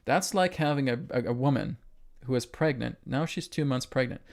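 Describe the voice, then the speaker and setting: Male spiritual host, mid pitch, creaky, emphatic and repetitive lecture delivery. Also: moderate pace. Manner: emphatic, repetitive, asks rhetorical questions and tags "right?". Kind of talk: spiritual lecture to an online audience.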